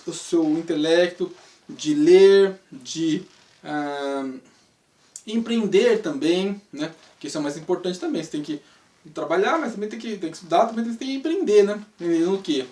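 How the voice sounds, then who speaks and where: A man's voice: high voice, a little mellow. A man in his thirties from Brazil with a Brazilian Portuguese accent, hosting a casual monologue that rambles with rhetorical questions and tag questions. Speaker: channel host, English teacher. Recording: roomy sound, mic at a distance.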